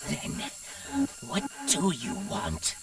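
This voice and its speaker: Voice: mid-range voice, wildly swooping pitch, recorded from a distance, a loud crowd, full character voice. An actor voicing a sinister, coaxing hypnotist villain for a cartoon-style game, drawing the words out hypnotically. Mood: wary. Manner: slow, drawn-out hypnotic delivery.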